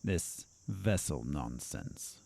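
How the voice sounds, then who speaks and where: Sardonic asylum doctor, deep voice, very animated intonation, needling, confrontational, audio drama. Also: male voice, drawn-out words, gravelly, no echo, heavily acted. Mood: skeptical.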